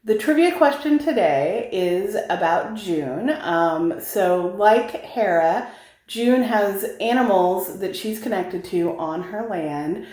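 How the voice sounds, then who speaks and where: In a noticeably echoey room, a woman with a medium-pitched voice speaks as a novelist. She explains her book in a casual, direct way. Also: lively intonation, slow, a little mellow. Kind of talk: casual talk to camera about own book. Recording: roomy, echoing home-style recording.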